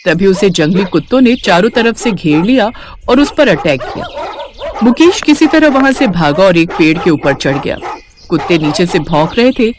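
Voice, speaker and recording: Lady narrating a kids bedtime story, really animated pitch, faint noise in the background. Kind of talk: scripted children's story narration.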